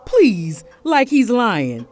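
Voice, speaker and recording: A woman's voice: fairly high pitch, huge pitch swings, very slow, darkish tone, full character voice. A woman acting a dismissive, argumentative daddy's-girl daughter in an audio drama.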